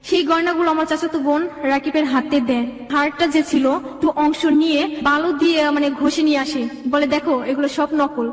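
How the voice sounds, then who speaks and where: A young woman with a Bangladeshi accent speaks in a high voice at a quick clip. She recounts her life in long, rambling sentences as a guest being interviewed. The room rings with heavy reverb around her. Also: fairly even intonation. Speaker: guest telling own life story. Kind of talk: personal storytelling in an interview.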